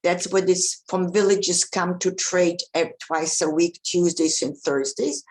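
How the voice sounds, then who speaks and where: Older woman from Poland, survivor testimony, direct and unpolished delivery with asides. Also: fairly low pitch. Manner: plain, direct, with restarts and asides. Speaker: Holocaust survivor speaker. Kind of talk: personal testimony talk.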